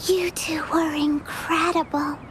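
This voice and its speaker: A woman's voice: high-pitched, level tone, slow pace, slight background noise. A woman voicing a timid, earnest young child in an anime-style video game, speaking in a hesitant, trusting way. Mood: admiring.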